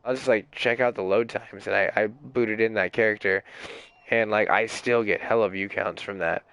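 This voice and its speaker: A young man streaming games while sick, with a raspy, hoarse voice, rambling in casual chat full of fillers and swearing. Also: fairly low pitch, steady tone, normal speed, fairly bright tone, low-quality recording. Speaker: game streamer.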